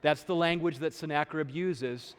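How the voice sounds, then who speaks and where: A man presenting a lecture in a steady, explanatory way. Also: a little high, moderate pace, clean tone, in a large hall. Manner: explanatory, steady, occasional uh fillers. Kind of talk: lecture on archaeology.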